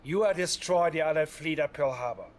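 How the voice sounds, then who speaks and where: An actor playing a sinister, scheming psychic advisor in a game cutscene, speaking softly and menacingly, often close to a whisper. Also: male voice, on the high side, brisk, natural voice. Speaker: Soviet military advisor. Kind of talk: video game cutscene acting.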